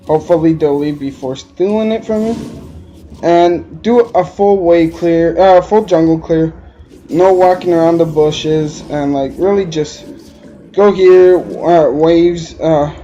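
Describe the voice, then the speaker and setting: A man's voice: a little high, warm tone, lo-fi sound, slight background noise. A man from Alberta with a Quebec French-tinged accent, rambling through gameplay commentary with many hesitations. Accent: Quebec French-tinged English. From Alberta, Canada. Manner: rambling, hesitant, lots of uh fillers. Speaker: gamer.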